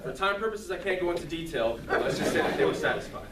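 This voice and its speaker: A man's voice: high-pitched, lively intonation, a loud crowd. Guy doing a comic tall tale speech on stage, theatrical timing, echoey far off mic. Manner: theatrical, deliberate comic delivery. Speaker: speech contest contestant. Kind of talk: humorous storytelling speech to an audience. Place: room with an audience. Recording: roomy, distant mic, clearly noisy.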